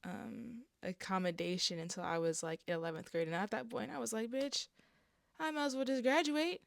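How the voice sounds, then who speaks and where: A hoarse young woman with a raspy voice, talking casually and candidly to camera on her vlog, full of fillers and swearing. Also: a little high, brisk, no room sound. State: hoarse from an allergic reaction. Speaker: vlogger.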